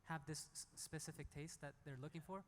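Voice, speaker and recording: A man from South Korea, an indie musician, speaking off the cuff and haltingly on a panel. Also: a little high, steady tone. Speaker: indie singer-songwriter on a panel. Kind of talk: unscripted panel talk. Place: hall at a music conference panel. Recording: echoey hall with long reverb.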